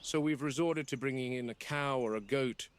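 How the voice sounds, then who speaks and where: Male actor as serious warden of dangerous predators, mid-pitched, deliberate and objective, scripted found-footage horror log. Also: close to an everyday voice. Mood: measured, grave.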